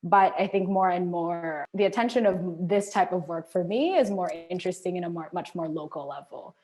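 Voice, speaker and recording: A young woman from the Philippines, a feminist activist, introducing herself in a thoughtful, relaxed way with frequent fillers. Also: fairly low pitch, normal speed, over music. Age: young adult. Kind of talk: conversational self-introduction.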